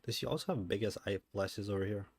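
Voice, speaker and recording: A man's voice: fairly low pitch, quick pace, husky, dry recording. A man giving casual, rambling, sweary streamer reactions while playing a video game. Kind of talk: casual reaction commentary over gameplay. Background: faint game music.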